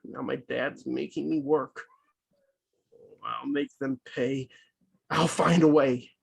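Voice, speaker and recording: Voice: mid-range voice, fairly even intonation, slow, creaky, husky, exaggerated voice. A performer doing a spiteful teen pizza boy. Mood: bitter. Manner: sulky, vengeful muttering. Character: resentful teenage pizza delivery boy. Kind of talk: table read over video call. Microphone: video call mic.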